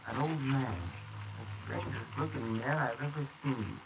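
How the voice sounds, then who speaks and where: A man's voice: medium pitch, unhurried, close to an everyday voice. Brief: guilt-ridden young husband, tense and panicky, played by a man, hissy dull wire recording. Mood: uneasy. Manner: tense, panicky, rising to shouts. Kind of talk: 1940s radio acting.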